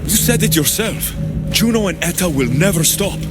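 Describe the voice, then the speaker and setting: A man's voice: sits high, lively intonation, moderate pace. An actor playing a hardened male mercenary hero who speaks in a blunt, determined way in a game cinematic. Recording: loud score under the voice. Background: loud orchestral game music.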